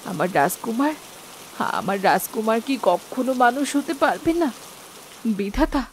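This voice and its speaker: A woman's voice: on the high side, sing-song, moderate pace, a little hiss or hum. A woman voicing a devoted village mother in a cartoon, wistfully talking to herself. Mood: worried, longing.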